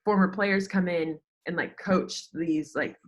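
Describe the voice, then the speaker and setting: Young American woman, slightly low voice, informal and chatty podcast guest, frequent "like" fillers. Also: steady tone, a little slow. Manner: informal, chatty, heavy use of "like". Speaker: podcast guest, sports social media worker. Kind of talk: casual interview chat.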